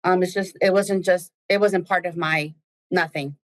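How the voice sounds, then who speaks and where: Brief: woman guest whose first language is Spanish, lowish voice, animated storyteller. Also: normal speed. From United States. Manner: lively storyteller, acts out what she said. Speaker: daycare director, nun. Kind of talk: casual interview chat.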